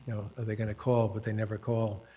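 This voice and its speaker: A man in his seventies from the United States, an author and professor telling stories of his life at a panel talk, unhurried and self-deprecating, with dry humor and hesitant fillers. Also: fairly low pitch, flat delivery, warm tone. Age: 70s. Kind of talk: reflective personal storytelling at a panel talk.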